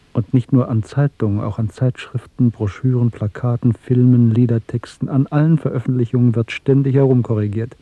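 A muffled, dry radio drama recording of an embittered male dissident played by an actor. His voice is husky and he speaks in brooding, declamatory phrases, as a fatalist who hates the regime he lives under. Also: medium pitch, no room sound, close to an everyday voice. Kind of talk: German radio drama acting.

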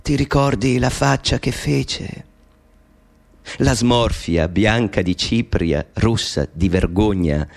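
A man voicing a gentle elderly mother, low and soft. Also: slow pace, vocal fry, full character voice. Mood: fond remembering. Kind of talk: radio drama monologue. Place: studio.

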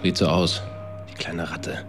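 Cynical office tech man, low voice, curt and sardonic, radio play w music. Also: little pitch movement, measured pace. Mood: sardonic, defensive. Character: brusque, cynical tech company man named Mirko. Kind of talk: German radio drama acting. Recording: music behind the voice.

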